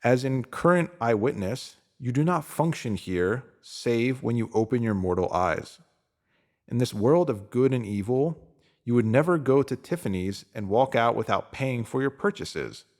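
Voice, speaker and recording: A man reading a scripted motivational spiritual piece in a deliberate, emphatic manner, pausing to give phrases weight. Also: mid-range voice, lively intonation, darkish tone. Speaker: narrator reading a spiritual self-help post.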